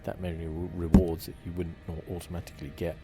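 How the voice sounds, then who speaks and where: A soft-spoken man hosting a relaxed, informal ASMR video game playthrough. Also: low-pitched, fast. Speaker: gaming channel host. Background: game music.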